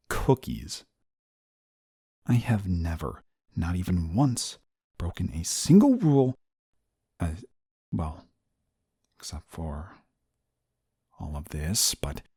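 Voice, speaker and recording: A man playing an exasperated, rule-bound but soft-hearted guardian angel in a scripted audio roleplay, scolding in a weary, formal way. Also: low-pitched, husky, no echo, studio quality, exaggerated voice. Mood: indignant, reluctant. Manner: weary, scolding, formal wording, sighs often.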